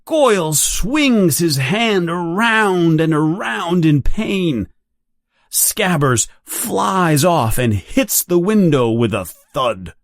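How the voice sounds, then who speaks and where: A man narrating a read-along audiobook story in a deliberate, expressive manner. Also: a little high, no echo, studio quality.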